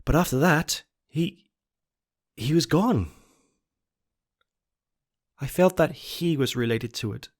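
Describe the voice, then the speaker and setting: A man voicing a haunted, unhinged young man in a horror story monologue, halting, stammering and repeating his words as he confesses on tape. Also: mid-range voice, natural voice. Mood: haunted, uneasy. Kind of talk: dramatic first-person horror monologue.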